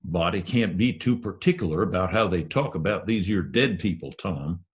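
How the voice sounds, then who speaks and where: A man's voice: deep voice, animated, moderate pace. A man voicing a superstitious, frightened young boy in a storybook, with rustic, ungrammatical drawling talk. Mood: solemn, superstitious.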